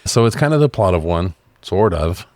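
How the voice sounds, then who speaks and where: Very deep-voiced, sweary man chatting. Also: animated, normal speed, a little mellow, dry recording. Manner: informal, sweary. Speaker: podcast co-host reviewing films. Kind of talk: casual film review chat.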